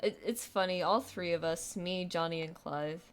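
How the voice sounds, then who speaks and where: A woman's voice: on the low side, moderate pace. A young woman with a youthful, girlish voice, chatting casually on a live stream, rambling and self-deprecating with lots of restarts and fillers.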